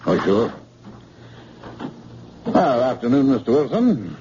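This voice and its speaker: An actor playing a gentle, cheerful hospital doctor in an old radio drama style. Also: male voice, mid-range voice, wildly swooping pitch, takes it slowly, slight background noise. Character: kindly hospital doctor, chief of staff. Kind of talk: 1940s radio acting. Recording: dull old radio broadcast.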